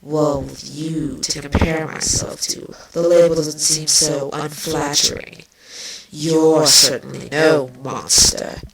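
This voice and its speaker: A woman's voice: deep voice, steady tone, slow, heavily acted. A woman voicing a bitter, venomous changeling queen villainess with a biting, sardonic delivery in a fan fiction reading. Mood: wry. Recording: distant mic, clearly noisy.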